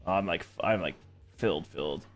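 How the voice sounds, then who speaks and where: A man's voice: sits low, brisk, soft background music. A young man giving casual, slangy, rambling commentary as he streams a game.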